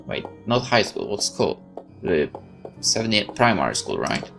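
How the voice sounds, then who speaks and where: A man with a very deep voice and animated pitch reads a game's story aloud theatrically. He mixes in casual let's-play remarks, with faint music behind him. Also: unhurried, creaky. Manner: expressive, theatrical. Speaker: let's player. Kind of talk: dramatic read-aloud with casual asides.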